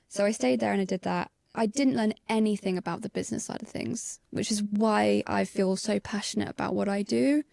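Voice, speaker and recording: Calm woman with a gravelly edge. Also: medium pitch, no room sound, studio quality. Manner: calm, thoughtful, introverted. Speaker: photographer guest. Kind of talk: casual podcast interview.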